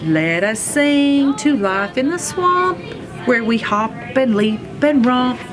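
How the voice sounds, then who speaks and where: A woman's voice: high-pitched, expressive, slow pace, voice pushed up. A woman voicing a cheerful, daydreaming young frog in a playful, exclamatory, singsong manner. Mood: joyful, playful.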